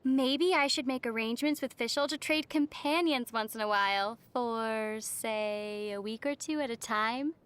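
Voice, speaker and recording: A woman voicing a young female traveler in a video game, calm and playfully teasing, with wry delivery. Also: fairly high pitch, a little slow, hardly any pauses, clear, resonant tone.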